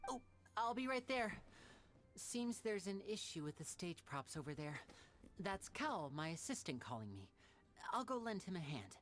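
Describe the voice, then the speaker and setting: A woman's voice: on the low side, big pitch swings, moderate pace. A woman voicing a polite, charming young male stage magician in a video game, with a courteous showman's manner. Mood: obliging. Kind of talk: animated game character acting.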